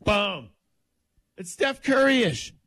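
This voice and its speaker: A man co-hosting a morning radio show, talking sports in an emphatic, excited way and laughing easily. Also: high-pitched, extremely slow, no room sound, studio quality.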